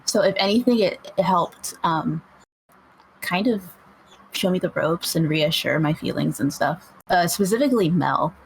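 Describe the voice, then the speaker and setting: A young adult panelist giving plain, steady answers in a casual discussion. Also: medium pitch, normal speed, creaky, slight background noise, poor audio.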